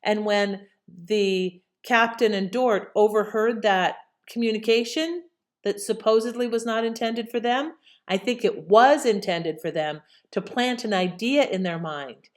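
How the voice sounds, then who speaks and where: Conversational woman host, medium pitch. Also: unhurried. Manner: conversational, inquisitive. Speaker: channel host. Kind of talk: casual commentary to viewers.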